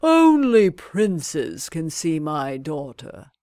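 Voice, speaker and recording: A woman's voice: low-pitched, very animated, warm tone, no echo, clean studio sound, exaggerated voice. A woman voicing a haughty, protective Sultan and father in a storybook, with stately delivery. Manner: stately, drawn-out.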